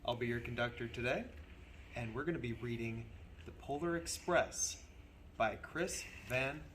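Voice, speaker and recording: A man reading a children's story aloud in an expressive, deliberate, theatrical way as its host. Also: mid-range voice, slight background noise. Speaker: storybook reader playing a train conductor host.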